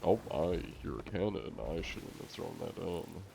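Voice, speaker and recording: A man chatting casually to viewers on a live game stream, congested and coughing now and then, chatty and quick to laugh. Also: low-pitched, creaky, background noise.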